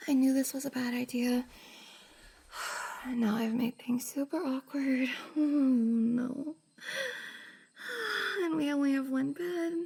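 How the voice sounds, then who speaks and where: Woman acting a high-pitched, shy, whispery young woman. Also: animated, slow pace, pauses often, a little mellow, heavily acted. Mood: anxious, embarrassed. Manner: breathy, hesitant, sighing, often dropping to a whisper. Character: shy, flustered young woman cosplayer confessing to best friend. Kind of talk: intimate roleplay ASMR audio drama.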